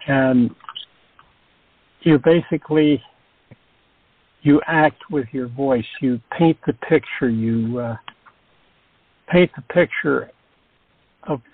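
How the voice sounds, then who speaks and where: An older man talking casually as an interviewed actor, deliberate and emphatic, repeating phrases for effect. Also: medium pitch, slightly rough, dry recording. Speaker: radio drama actor being interviewed. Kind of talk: casual reflective chat. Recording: dry, tinny phone-like band.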